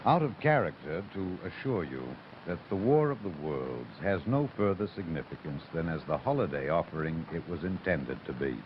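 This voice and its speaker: A man playing an urbane radio host, measured and theatrical, in 1930s announcing style. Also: sits low, big pitch swings. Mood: wry solemn. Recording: dull, faintly noisy broadcast sound.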